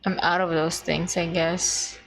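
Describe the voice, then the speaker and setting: A woman's voice: lowish voice, flat delivery, unhurried, vocal fry, fairly bright tone, a little hiss or hum, low-quality recording. A woman livestreaming a video game, chatting casually and muttering to herself in short fragments as she thinks aloud. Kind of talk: casual gaming stream chat, thinking aloud.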